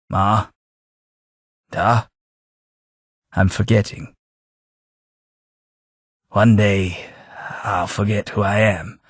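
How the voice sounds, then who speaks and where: An actor playing a forgetful older gentleman in a game, speaking in a husky, measured and formal way. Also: fairly low pitch, dry recording, clean studio sound, exaggerated voice. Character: forgetful older English gentleman companion, weary and reflective. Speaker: game companion character. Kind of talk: video game voice acting.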